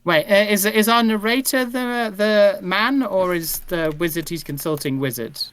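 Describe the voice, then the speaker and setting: A man with a fairly high, crisp voice talks casually while working with friends online. He is hesitant and tends to repeat words as he goes. The sound is dry and close. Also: moderate pace, fairly bright tone, dry recording. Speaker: podcast writer-performer on a livestream. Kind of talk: casual collaborative chat.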